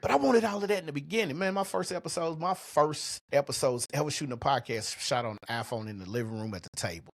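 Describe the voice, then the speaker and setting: A middle-aged man with a husky voice hosting a motivational business podcast, speaking in a colloquial, emphatic, punchy way on a close microphone. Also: fairly high pitch, fast, hardly any pauses, no room sound. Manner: colloquial, emphatic, punchy delivery with rhetorical questions. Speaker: podcast host and coach.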